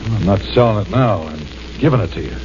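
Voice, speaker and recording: A wary, blunt mob tipster, played by a man, his voice fairly low and his slang plain. The old radio transcription is muffled, with faint hiss. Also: animated, fast talker, lightly acted. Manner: low, blunt gangster talk. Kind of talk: 1940s radio drama acting.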